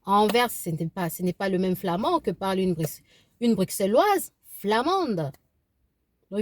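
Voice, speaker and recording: Chatty woman vlogging from her car. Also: a little high. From eastern Congo (DRC). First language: Kibembe. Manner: animated, repeats herself, frequent fillers and restarts. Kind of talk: casual opinion vlog. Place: inside a parked car.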